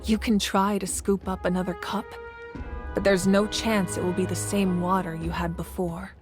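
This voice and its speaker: A woman voicing a composed, commanding female ruler in a game, speaking in a measured, grave, deliberate way. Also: fairly low pitch, flat delivery, lightly acted. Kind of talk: game voice acting. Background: game music.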